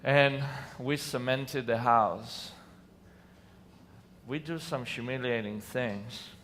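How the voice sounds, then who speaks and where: A man's voice: mid-range voice, slow, a bit bright. A man from Israel with an Israeli accent, telling personal stories earnestly to a conference audience, with sudden emphatic bursts. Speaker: conference speaker. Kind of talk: personal storytelling talk on stage. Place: large hall on a lit stage. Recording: distant hall reverb, faint room noise.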